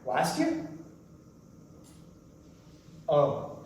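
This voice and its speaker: Guy acting a calm mysterious man in a play, short dry questions, echoey room, bit of noise. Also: on the high side, drawn-out words, heavily acted. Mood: grave, probing. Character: calm, probing, mysterious male companion at a diner. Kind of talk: staged reading of a stage play. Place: large echoey room, live staged reading. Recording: echoey hall from far off, noisy.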